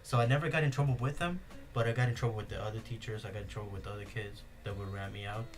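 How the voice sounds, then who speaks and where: A young man with a Spanish accent hosts a casual podcast, rambling through stories with plenty of "like" and "uh". His voice sits a little low. Music plays clearly behind him. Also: steady tone, racing. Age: young adult. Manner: rambling, many fillers and restarts. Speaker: podcast host. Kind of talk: casual chat.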